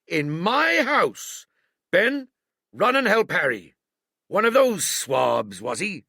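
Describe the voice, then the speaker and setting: Man doing a hearty old one-legged cook, blustering, swooping voice, gravelly. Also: big pitch swings, slow, sharp tone, dry recording, clean studio sound, exaggerated voice. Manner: blustering, booming sea-dog bluster.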